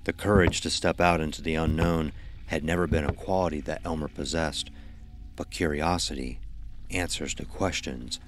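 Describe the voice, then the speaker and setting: A man reading scripted horror narration in a measured, ominous storytelling manner. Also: very deep voice, steady tone, faint music behind.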